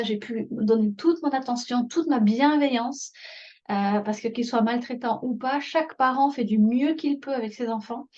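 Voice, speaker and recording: A woman's voice: on the high side, darkish tone, muffled recording. A French-accented woman who leads parenting workshops, talking casually to camera, full of fillers and restarts. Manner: chatty, many fillers and restarts.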